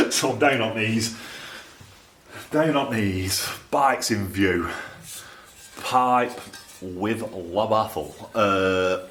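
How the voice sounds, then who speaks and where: A man's voice: mid-range voice, heavy background noise. A man with a Northern English accent talking casually to camera in a workshop, informal and rambling as he thinks aloud. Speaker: exhaust fabricator and video presenter. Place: indoors in a small cluttered workshop. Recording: roomy, echoey workshop sound.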